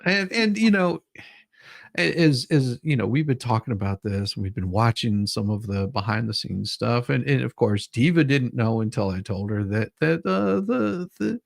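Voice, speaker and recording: A man's voice: medium pitch, lively intonation, normal speed, gravelly, dry recording, studio quality. A man hosting an online show in casual chat, rambling and often stammering over repeated words.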